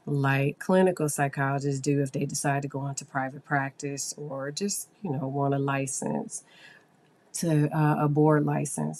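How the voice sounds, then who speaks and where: A hoarse woman hosting a psychology podcast, talking casually and explaining things with fillers. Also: rumbling low, darkish tone. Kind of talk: casual solo podcast talk.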